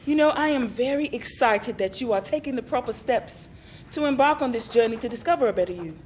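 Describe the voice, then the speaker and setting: An actress playing a calm, patient therapist who asks measured questions. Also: female voice, on the high side, a little hiss or hum, lightly acted. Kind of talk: stage play acting.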